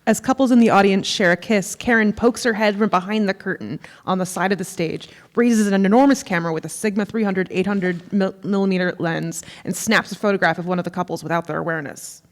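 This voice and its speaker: A woman's voice: medium pitch, a little fast, few pauses. A woman reading stage directions as scripted narration at a live staged play reading. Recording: reverberant theatre hall.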